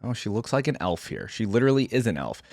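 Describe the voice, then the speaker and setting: A man's voice: on the low side, very animated, quick pace, gravelly, darkish tone, dry recording, studio quality. A man giving casual, off-the-cuff reaction commentary as a video host, rambling informally as he thinks aloud.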